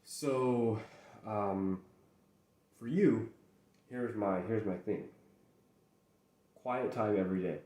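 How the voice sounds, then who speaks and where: A man's voice: fairly low pitch, mellow. Rambling young man in an echoey room. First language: English. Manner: rambling, halting, with frequent restarts. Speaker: host. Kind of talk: casual solo chat.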